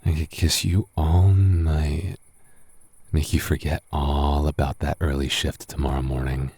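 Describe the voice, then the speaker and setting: Very deep-voiced flirty tipsy roommate played by a man. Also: flat delivery, a little slow, a little mellow, husky, exaggerated voice. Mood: flirty, intimate.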